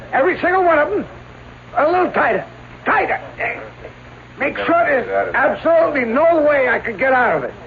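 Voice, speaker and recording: A man's voice: extremely high pitch, exaggerated voice. A man playing a proud, theatrical escape artist in a radio drama, addressing his audience in a florid, declamatory way. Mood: instructing, showy. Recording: tinny, noisy old radio recording.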